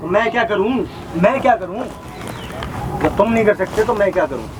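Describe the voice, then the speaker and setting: A man's voice: sits high, lively intonation, moderate pace. A man playing someone who scolds and mocks a family harshly. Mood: mocking. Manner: mocking, scolding. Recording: distant voice over loud noise.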